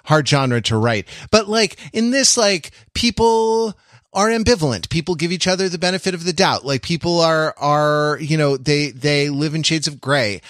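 A man hosting a podcast, talking casually and analytically, halting and digressive as he thinks aloud. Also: a little high, fairly bright tone, no echo, clean studio sound. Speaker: podcast host. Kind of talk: casual analytical discussion of a TV show.

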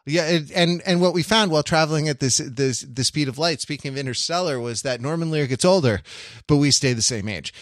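A man's voice: on the high side, no room sound, clean studio sound. A man hosting a casual podcast discussion, searching for his words as he goes, with a playfully mock-grand streak. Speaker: podcast host.

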